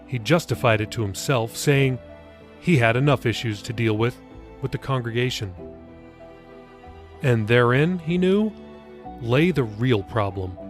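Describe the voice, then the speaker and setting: A man's voice: medium pitch, animated, unhurried, long pauses, soft background music. A man reading his own novel aloud as scripted audiobook narration.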